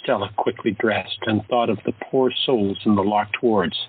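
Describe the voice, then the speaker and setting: A man narrating an audio drama in a steady, dramatic storytelling manner. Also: on the low side, moderate pace, breathy edge, dry recording, narrow, tinny audio.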